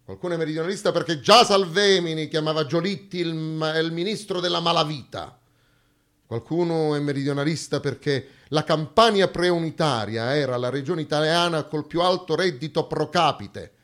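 A man's voice: fairly high pitch, moderate pace, fairly bright tone. A man acting on stage, delivering a dramatic monologue in an emphatic, declamatory way with rhetorical repetition. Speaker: stage actor performing a theatre monologue. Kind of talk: dramatic theatrical monologue, rhetorical repeated lines.

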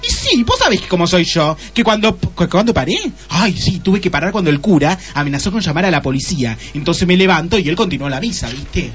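Guy doing a chatty brash argentine secretary woman, slangy radio comedy, talks quick and very lively. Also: sits high, slightly rough, faint music behind, full character voice. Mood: gossipy, excited. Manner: gossipy, slangy, melodramatic chatter. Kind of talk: comic radio play acting.

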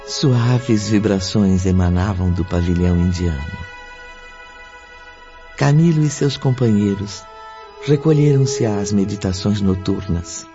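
A man's voice: fairly low pitch, takes it slowly. A man narrating a radio drama as a solemn storyteller, with music behind him. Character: solemn male storyteller of a spiritist tale. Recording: dull lo-fi audio with music. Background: clear background music.

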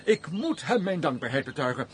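An actor playing a genial, fussy married gentleman in a radio drama, chatty and theatrical. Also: a little high, big pitch swings, brisk, slightly rough, heavily acted. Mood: genial, curious.

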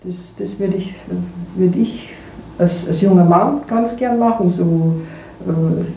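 A woman's voice: lowish voice, slow, warm tone. A woman talking casually and hesitantly, searching for words and repeating herself. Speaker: interviewee. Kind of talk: casual spontaneous talk. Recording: distant, echoey room with faint noise.

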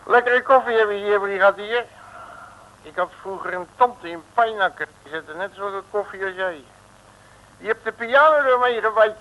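An actor playing a cheeky, sardonic police detective in a colloquial 1960s comic radio serial. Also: male voice, high-pitched, normal speed, pushed and bright, low-quality recording. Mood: dry, grumbling. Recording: old radio recording.

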